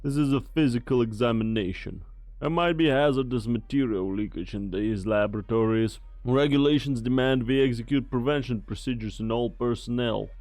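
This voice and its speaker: A man's voice: medium pitch, moderate pace, a little mellow, no room sound, natural voice. A man voicing a cold security commander giving curt, officious orders. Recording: dry close mic, faint music.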